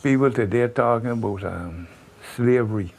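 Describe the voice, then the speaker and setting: A man speaking haltingly in an interview. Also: medium pitch, creaky, darkish tone.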